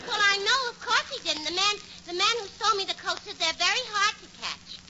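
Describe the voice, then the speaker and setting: Through loud, muffled old-radio noise comes a gabby, dim New York dame. Her voice is very high and swoops about, gushing in scatterbrained, ungrammatical chatter for 1940s radio comedy. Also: animated, fairly quick. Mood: indignant. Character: gabby, man-hungry, dim young woman.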